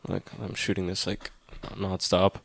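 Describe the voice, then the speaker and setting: A man's voice: low-pitched, monotone, a little slow, creaky, no echo. A man co-hosting a podcast with casual, joking banter and frequent swearing. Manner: profane, joking. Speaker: podcast co-host.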